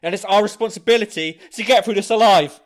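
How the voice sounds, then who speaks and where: An actress playing a hard, distrustful, selfish survivor in an audio drama, speaking bluntly and cynically. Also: female voice, mid-range voice, fast, close to an everyday voice. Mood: suspicious. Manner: blunt, commanding, cynical, swearing.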